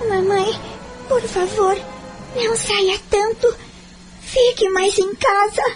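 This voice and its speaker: A woman's voice: very high-pitched, fairly even intonation, takes it slowly. A woman with a Brazilian accent acting a timid, pleading twelve-year-old daughter in an audio drama. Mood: pleading, sad. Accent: Brazilian Portuguese. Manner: pleading, plaintive. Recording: background music under voice.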